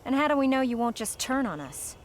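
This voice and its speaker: A woman's voice: sits high, sing-song, a little fast, slight background noise. An actress playing a bitter, defiant young woman in a video game, speaking with intense, accusing delivery. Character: defiant young woman, bitter, fearful former prisoner of beasts. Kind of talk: dramatic video game acting.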